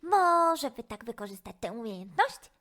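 A woman's voice: on the high side, very animated, moderate pace, a bit bright. A woman voicing a small, eager, gluttonous male mouse sidekick with a childlike manner in a children's storybook. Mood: confident, scheming. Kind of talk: children's audio storybook acting.

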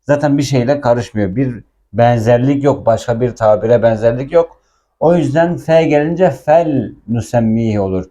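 Male language teacher, soft-edged mellow tone, informal explanatory delivery with patient repetition. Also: mid-range voice, moderate pace.

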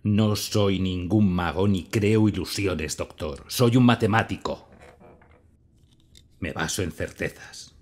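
The brilliant patient in a dim film-drama office, an older professor speaking slow, husky, insistent Castilian Spanish, proud and troubled a man acting it out. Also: low-pitched, big pitch swings, full character voice. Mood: indignant. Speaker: dubbing actor.

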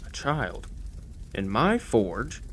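An actor playing a bitter, grumbling old god of the forge in an audio drama. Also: male voice, medium pitch, very animated, drawn-out words, heavy background noise. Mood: grumpy. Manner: grumbling, rambling about old grievances. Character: grumpy, bitter old blacksmith god, crippled.

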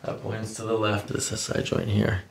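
A man's voice: on the low side, monotone, normal speed, gravelly. A middle-aged man working as a chiropractor, talking a patient through a hands-on exam in short clinical questions and instructions. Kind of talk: hands-on patient examination talk. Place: small carpeted treatment room, indoors.

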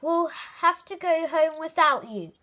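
A woman voicing a calm, kindly, gently teasing elephant leader in a children's storybook. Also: on the high side, moderate pace, breathy edge.

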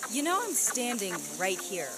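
A woman's voice: a little high, very animated, moderate pace. A young woman playing a firm, indignant, sensible bike cop in a comedic audio drama. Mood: fed up, indignant. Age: young adult. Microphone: studio condenser mic. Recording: sizzling noise behind voice.

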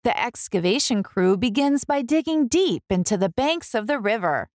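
A woman hosts a read-aloud for young children. Her voice is a bit high and very animated, recorded dry, and she enunciates each phrase deliberately. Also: no room sound. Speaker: children's book reader, host.

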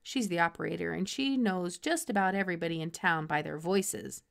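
A woman in her thirties reading a children's chapter book aloud with expressive storytelling narration. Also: lowish voice, a little fast, gravelly, smooth. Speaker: storybook reader.

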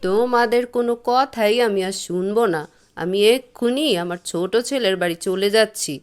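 A woman acts out an elderly cartoon mother, fussy and stubborn. Her medium-pitched delivery is plaintive and drawn out, full of self-pity. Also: moderate pace, clean tone, close to an everyday voice. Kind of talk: cartoon voice acting.

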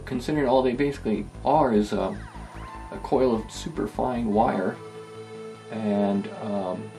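A man giving a casual hands-on demonstration, talking in a halting, rambling, self-deprecating way. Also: fairly low pitch, gravelly, dark tone, faint music behind. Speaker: host of a vintage electronics video. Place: indoor electronics workbench.

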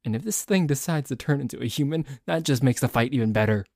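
A man playing a dorky, jealous tsundere boyfriend in an ASMR roleplay, bickering haltingly with a cocky streak. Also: mid-range voice, expressive, vocal fry, a little mellow, no echo. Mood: irritated, combative. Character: dorky, jealous, grumbling tsundere boyfriend who dislikes a cat.

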